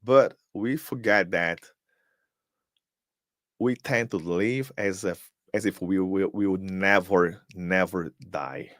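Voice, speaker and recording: A man's voice: deep voice, takes it slowly, a bit bright, no room sound, clean studio sound. A man whose first language is Portuguese gives a live-streamed motivational talk as host. He is emphatic, fires off rhetorical questions, and repeats words as he searches for them.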